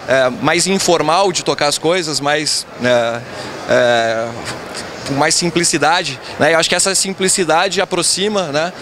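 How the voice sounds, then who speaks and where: Executive in his thirties, slightly high voice, measured answers on a faintly noisy event stage. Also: pushed and bright. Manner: measured, frequent filler tags. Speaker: incoming company president. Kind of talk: event interview. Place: event stage backdrop, indoors. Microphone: handheld mic held by interviewer.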